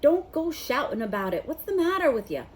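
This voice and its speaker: A woman's voice: high voice, big pitch swings, a little fast, pitched above the performer's own voice. A woman voicing a secretive, suspicious big male groundskeeper in a storybook, furtive and blustering. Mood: furtive scolding.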